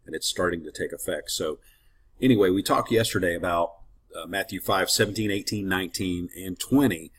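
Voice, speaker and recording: A man's voice: low voice, brisk, a bit bright, breathy edge. A man leading a casual Bible teaching, slightly congested and sniffling, in a friendly, conversational way with rambling asides. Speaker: ministry teacher.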